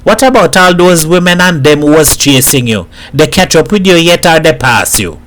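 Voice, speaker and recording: A streetwise Trinidadian character from a storybook , as a male narrator plays him. He talks bluntly and teasingly in island idiom. The voice is pitched somewhat high for a man. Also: expressive, normal speed, fairly bright tone, a little hiss or hum.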